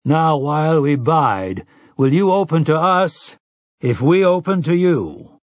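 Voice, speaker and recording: A man's voice: on the high side, animated, slow pace, dry recording, voice pushed up. A man voicing an earnest frontier villager who calls out ceremonial, archaic questions and thanks.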